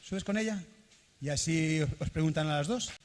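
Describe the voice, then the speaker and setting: A man's voice: fairly high pitch, normal speed. A man moderating a session, politely organizing the speakers, heard from a distance.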